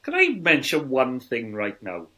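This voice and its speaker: A man's voice: medium pitch, huge pitch swings, a little slow, pushed and bright. A man in his forties co-hosting a casual film review podcast, speaking bluntly with an incredulous, complaining manner. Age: 40s. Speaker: podcast co-host.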